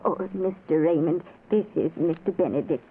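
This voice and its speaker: A thin, faintly noisy old broadcast carries a woman acting as a deferential wife among the dead. Her lowish voice is courteous, in 1940s radio style. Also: normal speed, phone-quality sound, natural voice. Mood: polite.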